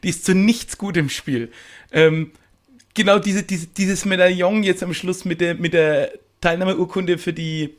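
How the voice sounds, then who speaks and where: A man's voice: sits high, steady tone, measured pace. A man chatting casually as a podcast guest and improv performer, acting out thoughts in quoted asides. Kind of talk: casual animated chat.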